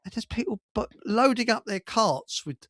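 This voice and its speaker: A man's voice: sits high, expressive, moderate pace, a bit bright, dry recording, studio quality. A man with an English accent hosting a radio show in casual, rambling chat, telling stories with colloquial asides and digressions.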